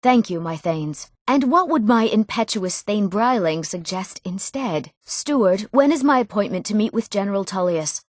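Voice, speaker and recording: A woman's voice: medium pitch, animated, moderate pace, few pauses, dry recording, close to an everyday voice. Casting: female actor for an earnest, eager young woman of a fantasy game realm; mid-range voice, theatrical diction, studio-dry. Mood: formal, resolute. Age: young adult. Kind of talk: scripted fantasy game dialogue.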